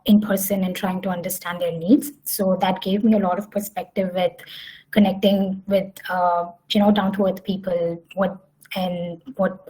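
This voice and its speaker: Young woman presenter, mellow tone, halting delivery with restarts. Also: lowish voice, poor audio. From Hyderabad, India. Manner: hesitant, many fillers and restarts. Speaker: summit speaker. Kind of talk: online conference presentation.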